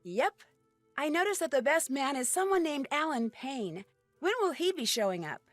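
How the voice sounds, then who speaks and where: An actress playing a polite, curious young amateur detective in a video game. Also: female voice, high voice, very animated, moderate pace, no room sound, soft background music. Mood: polite, inquisitive. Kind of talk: video game character dialogue.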